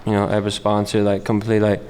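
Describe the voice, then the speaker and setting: Laid-back young man, low voice. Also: monotone, a little fast, darkish tone. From California. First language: Spanish. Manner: laid-back slangy talk, lots of fillers. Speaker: guest skater. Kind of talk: casual interview chat. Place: live event hall. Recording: reverberant hall.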